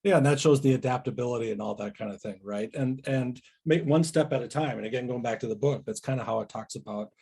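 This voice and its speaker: Male podcast guest, mid-pitched and husky with vocal fry, tentative, conversational delivery. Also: fast talker, hardly any pauses, dark tone. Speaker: agile coach, podcast guest. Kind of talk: casual podcast discussion.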